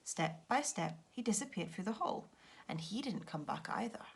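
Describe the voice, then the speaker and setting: A woman teacher reading a children's story aloud with expressive narration. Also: mid-range voice, normal speed, creaky, slightly rough.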